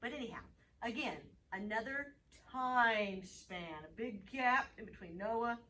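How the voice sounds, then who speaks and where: A woman hosting a children's Bible story time, animated as she reads and chats directly to young listeners. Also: a little high, a little slow. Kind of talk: reading bedtime Bible stories to children, chatting with a puppet. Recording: distant mic, faint background noise.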